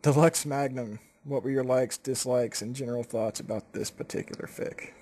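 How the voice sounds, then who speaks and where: Mid-pitched man hosting a casual discussion. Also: moderate pace, a little mellow. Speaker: host of a group reading show.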